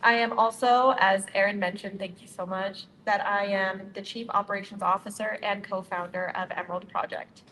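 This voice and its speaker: A woman's voice: mid-range voice, fairly bright tone. A young woman from Utah, a nonprofit co-founder, giving a friendly, upbeat prepared speech to a community gathering. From Utah, United States. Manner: upbeat, friendly, addresses the crowd directly. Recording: reverberant hall, faint ambient noise.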